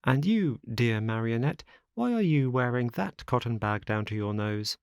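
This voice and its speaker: A man's voice: mid-range voice, moderate pace, clean tone, no room sound, clean studio sound, lightly acted. A man voicing a mischievous boy in a storybook, evasive and mock-polite in manner. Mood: cagey, mock-polite.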